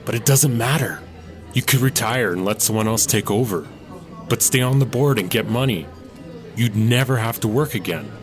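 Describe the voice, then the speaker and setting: An earnest young man, voiced by a man in a radio drama, reproachful and a little low in pitch. Clear music plays behind his lines. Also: lively intonation, normal speed, close to an everyday voice. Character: Otto, young man breaking from his family. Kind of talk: audio drama.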